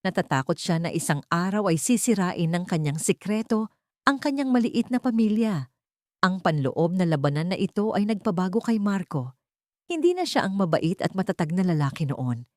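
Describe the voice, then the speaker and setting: A woman's voice: lowish voice, sing-song, normal speed, a little mellow, no echo, clean studio sound. A woman reading a scripted dramatic story as its narrator.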